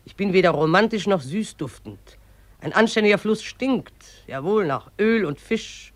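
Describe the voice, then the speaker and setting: An actress in a radio play voicing the river itself as a gruff, mocking old woman who scolds in an earthy, derisive way. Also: medium pitch, expressive, moderate pace, dry recording, lightly acted. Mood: scornful. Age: older.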